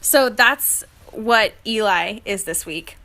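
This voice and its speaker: A woman's voice: medium pitch, takes it slowly, pushed and bright. A young woman co-hosting a podcast in casual comedic chat, giggly and prone to laughing as she talks or reads aloud. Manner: giggly, breaks into laughter while talking. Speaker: podcast co-host.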